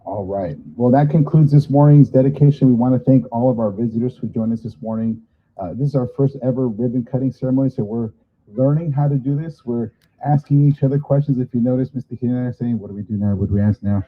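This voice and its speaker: Hoarse, fast-talking man on a tinny line. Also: medium pitch. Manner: casual and apologetic, many fillers. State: hoarse, losing his voice. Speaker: master of ceremonies at a school event. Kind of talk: informal event hosting. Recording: tinny phone-like sound.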